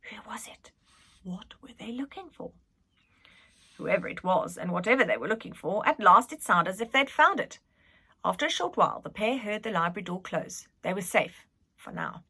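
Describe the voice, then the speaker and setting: A woman reads a children's storybook aloud. Her voice is bright and very lively in pitch, and she tells it dramatically, leaning into the suspense. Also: moderate pace, creaky, husky. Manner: animated, dramatic, with whispered suspense. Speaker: reader, school staff member.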